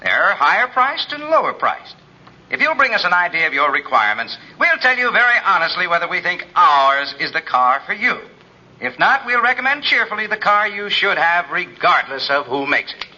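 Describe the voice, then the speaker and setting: Very bright, high-pitched radio adman. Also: very animated, normal speed, heavily acted. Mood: earnest. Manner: polished, cheerful sincerity. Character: earnest male radio car advertisement announcer. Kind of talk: 1950s radio commercial announcing. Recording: dull old 1950s radio recording.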